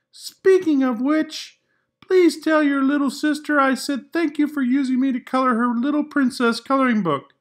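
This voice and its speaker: A man's voice: very high voice, a bit bright, pitched above the performer's own voice. A man voicing an indignant, sassy pink crayon in a children's storybook, scolding and demanding with emphasis. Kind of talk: children's picture book read-aloud.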